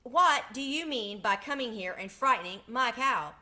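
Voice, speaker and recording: A woman's voice: a little high, animated, moderate pace, bright, forceful tone, pitched above the performer's own voice. A woman voicing a vexed little china milkmaid in a storybook, scolding and indignant. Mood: angry.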